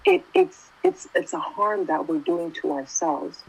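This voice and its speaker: Young woman preaching, chatty, keeps saying right?, kinda low husky voice. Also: huge pitch swings, unhurried, rough recording. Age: 20s. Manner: conversational, keeps asking "right?". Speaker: youth preacher. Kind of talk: casual sermon.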